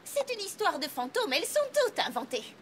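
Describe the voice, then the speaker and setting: Brief: a refined, dramatic cartoon mare, very high and lively, music under. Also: female voice, moderate pace. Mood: prim. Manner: prim, affected, theatrical. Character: prim, fussy young mare pony, refined and dramatic. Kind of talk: French cartoon dub. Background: cartoon music score.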